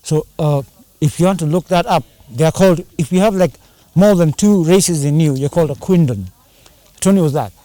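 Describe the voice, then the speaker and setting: A man's voice: on the high side, fairly quick, dark tone, no echo. A man chatting casually in an interview, rambling and slipping into Swahili slang. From Kenya. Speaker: band singer and drummer.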